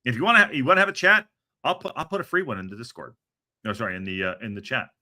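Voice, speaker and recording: A man hosting a football podcast, talking in an animated, rambling way with a lot of restarts. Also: mid-range voice, very fast. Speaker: podcast host. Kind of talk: casual chat.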